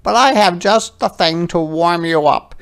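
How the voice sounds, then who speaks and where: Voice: mid-range voice, a little slow, fairly bright tone, voice pushed up. A storyteller voicing a kind, polite little hedgehog from a children's picture book, a young and brave animal. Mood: earnest.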